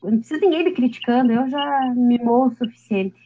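A woman's voice: a little high, fast talker, warm tone, muffled recording, slight background noise. A woman in her late thirties, a podcast guest, talking chattily about herself with self-deprecating humor and frequent laughs. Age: 30s. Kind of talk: casual interview.

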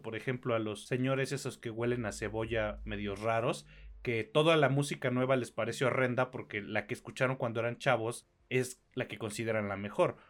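A Mexican man co-hosting a podcast, reviewing films in casual, slangy talk with some swearing. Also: mid-range voice. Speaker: podcast co-host. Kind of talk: casual film review chat.

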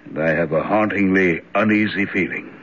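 Husky, troubled radio-drama character. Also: male voice, deep voice, moderate pace. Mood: uneasy. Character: troubled, apologetic, uneasy man. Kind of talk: 1970s radio drama acting. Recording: muffled old radio broadcast recording.

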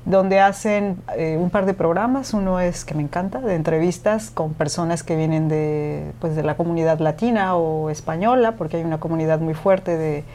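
A woman with a somewhat low voice talks openly as a guest in an interview. She speaks in an animated, candid way, with plenty of fillers as she goes. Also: normal speed, hardly any pauses, slight background noise. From Mexico. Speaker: guest, podcast host and former radio announcer. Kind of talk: conversational interview.

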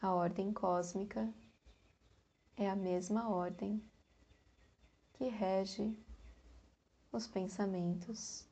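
A Brazilian woman guiding a meditation in a soft, breathy, calm voice, leaving long pauses between short phrases. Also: medium pitch. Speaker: meditation guide. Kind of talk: guided meditation.

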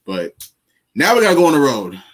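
A man co-hosting a casual sports podcast over a video call, laid-back and full of slang, turning animated when he gets worked up. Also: a little high, fairly quick, low-quality recording. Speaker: podcast co-host.

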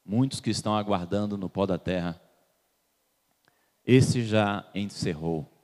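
A man's voice: on the low side, measured pace, darkish tone. A man preaches to a congregation, asking them questions and waiting for their answers. His voice rings with a long reverb through the church hall. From Salvador, Bahia, Brazil. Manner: questions the congregation and waits for replies. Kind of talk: sermon.

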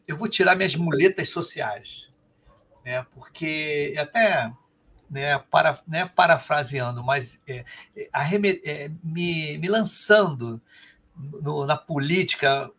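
A Carioca man hosting a podcast, chatting excitably in quick, animated bursts. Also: a little high, creaky, breathy edge. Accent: Carioca Portuguese. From Rio de Janeiro, Brazil. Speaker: podcast host. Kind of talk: casual podcast chat.

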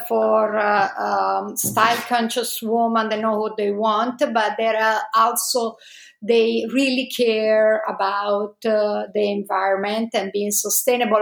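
A middle-aged woman with an Italian accent, answering interview questions with many pauses and fillers. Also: medium pitch, creaky, echoey room. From Italy.

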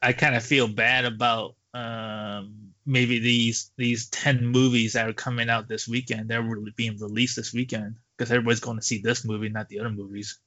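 A man's voice: mid-range voice, flat delivery, normal speed, few pauses, pushed and bright, no room sound. Casual male podcast voice, dull and dry. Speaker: podcast co-host. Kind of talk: casual movie chat.

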